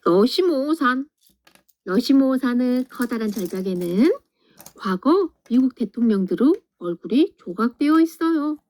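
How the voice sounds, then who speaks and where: Teacher lady reading a picture book to little kids, gentle and sing-song, mellow tone, kinda high. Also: lively intonation, a little slow.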